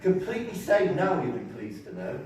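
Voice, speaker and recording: A man's voice: a little high, big pitch swings, fairly quick, darkish tone. A man reading the part of a deluded, cheerful psychiatric patient who thinks he speaks for God in a stage play, earnest, chatty and pompous, in a very echoey, distant, noisy recording. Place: large echoey room, mic at a distance.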